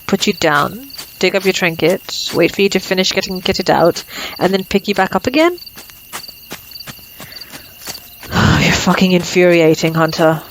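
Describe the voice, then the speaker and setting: A woman's voice: medium pitch, slight background noise, natural voice, rough recording. Woman acting a teasing female vampire, night insects behind. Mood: sardonic, exasperated. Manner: teasing, sardonic, taunting. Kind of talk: ASMR roleplay acting.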